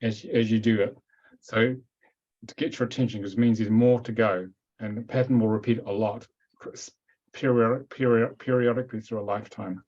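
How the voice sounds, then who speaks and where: A man's voice: on the low side, steady tone, normal speed, darkish tone. A man hosting a webinar Q&A, answering questions in a direct, matter-of-fact way over a video call. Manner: direct, matter-of-fact, repeats points firmly. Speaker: webinar host and teacher. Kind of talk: live webinar Q&A. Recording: dull lo-fi call audio.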